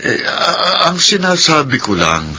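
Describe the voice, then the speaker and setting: An actor playing an exasperated, resentful adult son in a radio drama, sarcastic and complaining. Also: male voice, on the high side, big pitch swings, unhurried, sharp tone, a little hiss or hum, exaggerated voice. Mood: resentful, protesting.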